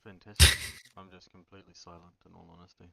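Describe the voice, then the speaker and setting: A New man makes relaxed small talk in brief, understated replies. His voice sits slightly low with a sharp, bright edge, captured dry without room sound. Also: quick pace, gravelly, no room sound, poor audio. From New Zealand. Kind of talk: casual chat.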